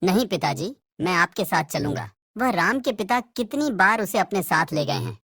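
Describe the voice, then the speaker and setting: Woman as a stubborn cartoon village boy, high childish voice, insistent. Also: animated, normal speed, fairly bright tone, slightly rough, no echo, studio quality. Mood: pleading. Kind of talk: Hindi cartoon dubbing.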